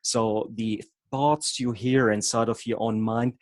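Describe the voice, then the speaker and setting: A man's voice: medium pitch, steady tone, slow pace, no room sound. A man from Germany with a German accent, a hypnotherapist presenting an online workshop in an explanatory way, with occasional stumbles over words. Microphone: video call.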